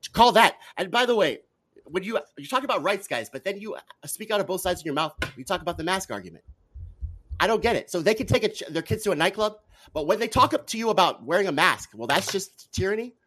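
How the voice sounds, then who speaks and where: Fast, animated, high-pitched argumentative man. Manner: argumentative, challenging with rhetorical questions. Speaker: political talk show guest. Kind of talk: heated political debate.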